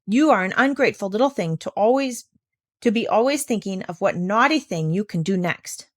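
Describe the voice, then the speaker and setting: A woman's voice: medium pitch, lively intonation, normal speed, no echo, studio quality, close to an everyday voice. A woman reading a storybook as a stern, scandalized housekeeper lady, haughty and scolding in exclamations. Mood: exasperated scolding. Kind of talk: children's storybook character reading.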